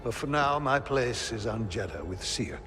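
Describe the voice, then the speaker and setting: A man playing an older, learned and kindly researcher in a video game, speaking in a measured, formal way. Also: mid-range voice, animated, natural voice. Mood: regretful, gentle. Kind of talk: cinematic video game acting, formal exposition. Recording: reverberant hall, music underneath.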